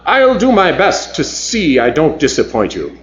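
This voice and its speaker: A man's voice: on the high side, huge pitch swings, measured pace, muffled recording, a little hiss or hum, voice pushed up. A male narrator voicing a wry, evasive starship captain who sounds slightly flustered. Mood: dry.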